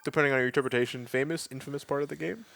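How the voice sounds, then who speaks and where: A man's voice: medium pitch, fairly even intonation, rapid-fire, creaky, fairly bright tone. A young man co-commentating on a video game, casual and joking with dry, sweary quips. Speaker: let's play co-commentator. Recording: game soundtrack behind the voice. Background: video game music and sound.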